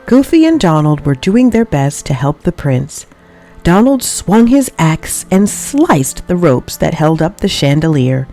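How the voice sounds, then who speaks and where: Low-voiced, animated woman reading a storybook. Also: a little slow, faint music behind. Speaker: storybook reader. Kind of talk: expressive children's read-aloud narration.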